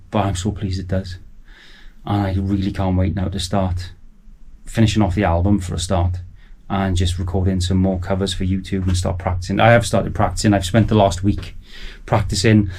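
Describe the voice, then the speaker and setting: A man's voice: low-pitched, a little mellow. A man in his forties, chatting enthusiastically and a little rambly to camera from his small home studio. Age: 40s. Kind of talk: casual chat to camera. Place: small sound-proofed garage studio.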